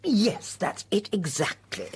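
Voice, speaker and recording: An actress playing a sharp, inquisitive old lady in a radio drama, with a husky voice and genteel, probing manner. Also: medium pitch, huge pitch swings, slow pace, heavily acted. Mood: thoughtful. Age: older.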